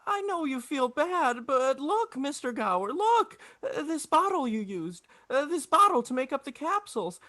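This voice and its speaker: A woman's voice: sits high, sing-song, moderate pace, hardly any pauses, a bit bright. A woman playing an earnest, pleading young boy in an old-time radio drama. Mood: pleading, frightened. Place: remote video call recording.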